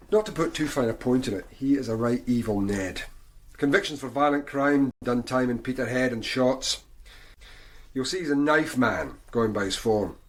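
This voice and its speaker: An actor playing a calm police chief. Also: male voice, medium pitch, slight background noise, close to an everyday voice. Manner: calm, deliberate, authoritative. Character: authoritative male detective chief inspector. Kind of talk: 1990s radio drama acting.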